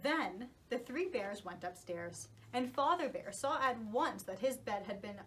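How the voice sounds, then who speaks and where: High-voiced, animated woman storyteller. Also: measured pace, a little hiss or hum, poor audio. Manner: animated, reassuring. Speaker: storyteller and puppet show host. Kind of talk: children's story narration.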